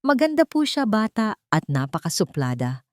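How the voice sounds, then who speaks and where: A woman's voice: mid-range voice, sing-song, normal speed, no echo, studio quality, voice pushed up, natural voice. A woman with a Filipino accent voicing a frightened, conscience-stricken old retired female hospital worker in a story. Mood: anxious confiding.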